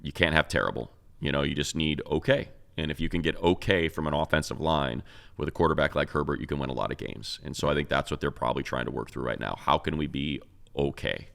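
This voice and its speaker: A man's voice: very deep voice, quick pace, gravelly, a bit bright. A man hosting a sports talk show, casually analyzing in a conversational, explanatory way and posing rhetorical questions.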